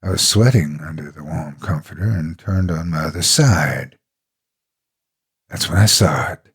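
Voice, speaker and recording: A man with a husky, gravelly voice narrating a horror story in the first person, dramatically and with deliberate expression. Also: extremely low pitch.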